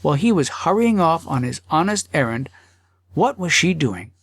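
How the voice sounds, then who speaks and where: Animated male storyteller with a gravelly edge. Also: on the high side, moderate pace, no echo, studio quality. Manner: theatrical, dramatizing thoughts and dialogue. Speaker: audiobook narrator. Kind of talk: expressive scripted narration of a humorous story.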